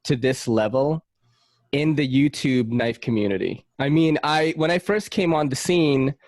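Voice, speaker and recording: Male host in his late thirties, slangy and animated, mid-range voice, dry close recording. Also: mid-range voice, a little slow, no room sound. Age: 30s. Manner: slangy, animated, laughs often. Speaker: podcast host. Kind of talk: casual chat.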